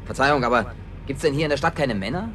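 A man's voice: mid-range voice, fast talker, a bit bright, a little hiss or hum, close to an everyday voice. An actor in a radio play voicing a naive, bumbling male survivor who rambles, apologises and grumbles. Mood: hesitant, earnest.

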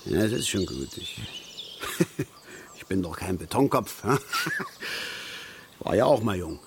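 An actor playing a grumbling, opinionated middle-aged angler in a radio drama, with a folksy, lecturing manner. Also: male voice, sits low, big pitch swings, slow, creaky, slight background noise, full character voice. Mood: conciliatory, awkward. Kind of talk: naturalistic German radio drama acting.

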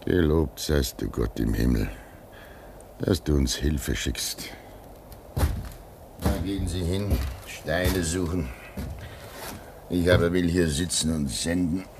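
An actor playing a lonely, pious radio operator at an Arctic station in a radio play, praying and chanting slowly. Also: male voice, rumbling low, vocal fry, noisy background, heavily acted. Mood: prayerful. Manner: slow, prayerful, half-singing.

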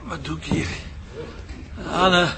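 An actor playing a stubborn, blunt police inspector with a Flemish accent and a husky, creaky voice, in TV crime drama dialogue. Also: male voice, on the high side, steady tone, takes it slowly, heavy background noise. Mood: dazed, alarmed. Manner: blunt, sarcastic. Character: stubborn, blunt male Belgian police inspector, gruff and impatient.

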